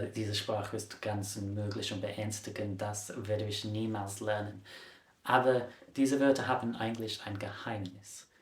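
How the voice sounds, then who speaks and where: Casting note: a low-voiced man, a casual vlog-style explainer, recorded in an echoey room. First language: English. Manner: chatty, corrects his own slips with a laugh. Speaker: language vlogger. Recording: roomy echo.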